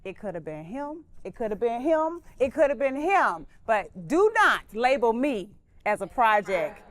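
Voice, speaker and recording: A woman's voice: on the high side, sing-song, unhurried, fairly bright tone. A woman talking as a panel guest in casual chat, emphatic and colloquial, with a testifying tone.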